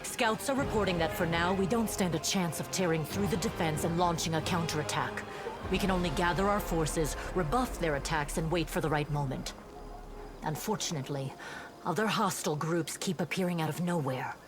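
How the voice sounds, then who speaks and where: An actress playing an earnest, dutiful female paladin officer in a fantasy game, speaking in a formal, measured reporting manner. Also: on the low side, close to an everyday voice. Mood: formal, composed. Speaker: game character reporting to a commander. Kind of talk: voice-acted fantasy game dialogue. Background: music.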